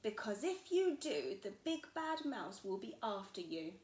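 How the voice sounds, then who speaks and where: A woman voicing a big monster father who speaks slowly and deliberately. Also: mid-range voice, big pitch swings, a little mellow. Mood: storytelling. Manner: slow and deliberate. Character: big male monster father, slow and gruff. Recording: echoey room, faint background noise.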